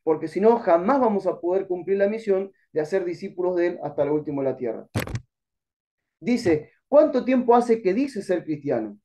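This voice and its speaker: A man's voice: sits high, expressive, normal speed, dark tone. Earnest Argentine man teaching Bible class. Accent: Argentine Spanish. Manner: earnest, exhorting, addresses listeners as brother. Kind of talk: online Bible class lecture.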